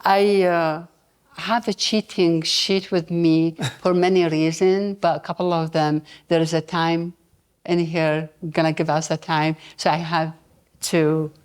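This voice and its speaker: A mature woman with an Iraqi Arabic accent shares her own story as an interview guest. Her voice is low-pitched with little rise and fall, and her phrasing is halting and non-native. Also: little pitch movement, darkish tone, smooth. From Baghdad, Iraq. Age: middle-aged or older. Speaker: interview guest, nonprofit founder. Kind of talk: personal story told at a live event.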